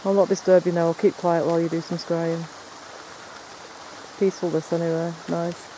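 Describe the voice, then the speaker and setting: A man talks in a chatty, rambling way, his voice pitched high yet mellow and soft-edged. He was recorded outdoors in rainy woods at night, with faint rain and a stream behind him. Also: level tone, moderate pace, a little hiss or hum, low-quality recording. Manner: chatty, rambling, explains as he goes. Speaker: paranormal investigator filming. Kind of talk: casual on-location chat.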